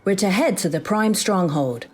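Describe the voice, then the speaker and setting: A woman playing a brisk, dry-witted AI assistant in a comic sci-fi audio drama. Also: medium pitch, expressive, a little hiss or hum, lightly acted. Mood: dry, resolute. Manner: crisp, dry, businesslike.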